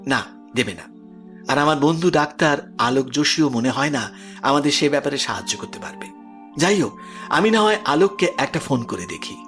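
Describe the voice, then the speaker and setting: An actor playing a composed, learned professor of the occult in an audio horror drama, speaking with assured explanation. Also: male voice, mid-range voice, lively intonation, moderate pace, fairly bright tone, lightly acted. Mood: serious. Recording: background music under the voice.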